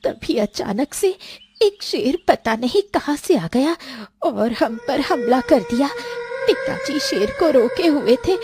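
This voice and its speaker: A woman's voice: sits high, expressive, takes it slowly, noisy background, pitched above the performer's own voice. A woman voicing a tearful, scheming orphan girl in a cartoon story, with a plaintive, pleading manner. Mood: frightened. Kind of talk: Hindi cartoon story dialogue.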